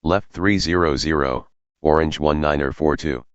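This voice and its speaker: A man's voice: very deep voice, moderate pace, clean tone, dry recording. A man giving clipped aviation phraseology over an air traffic radio transmission. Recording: dull, band-limited air traffic radio.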